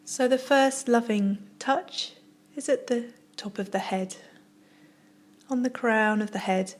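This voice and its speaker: A woman guides a meditation as a yoga teacher would, at a very slow pace. Her mid-pitched voice is soft and soothing. She gives short phrases and leaves generous space between them. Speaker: yoga teacher guiding relaxation.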